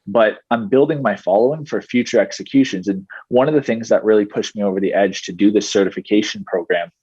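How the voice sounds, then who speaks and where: Husky-voiced man in his twenties. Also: on the low side, dry recording. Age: 20s. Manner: steady, explanatory, measured. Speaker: guest, digital marketing entrepreneur. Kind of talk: podcast interview answers. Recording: dull, muffled podcast audio.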